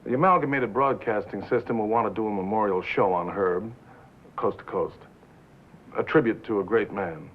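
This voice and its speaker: An actor playing a smooth, insistent broadcasting executive in a 1950s film drama, formal and coaxing. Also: male voice, on the low side, moderate pace, lightly acted. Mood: solemn, formal. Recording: tinny, narrow 1950s film soundtrack, faint noise.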